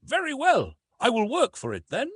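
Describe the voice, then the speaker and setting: A man's voice: extremely high pitch, wildly swooping pitch, normal speed, breathy edge, no echo, studio quality, voice pushed up, full character voice. A man voicing a naive, stubborn young wild man in an adventure audiobook, blunt and inquisitive. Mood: determined. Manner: simple, direct, inquisitive.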